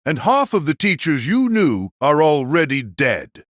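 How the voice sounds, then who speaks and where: A man's voice: fairly high pitch, huge pitch swings, unhurried, fairly bright tone, no echo, clean studio sound, pitched below the performer's own voice, exaggerated voice. A man playing a cold, mocking elder brother, an elite warrior, in an audio drama, speaking in a frank, condescending way. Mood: blunt, breezy.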